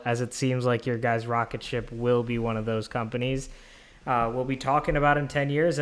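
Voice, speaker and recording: A man hosts a podcast interview, winding through long questions and circling back on his words. His voice is smooth and clear, sitting at an everyday middle pitch. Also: fairly bright tone. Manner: rambling, restarts often. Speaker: podcast host.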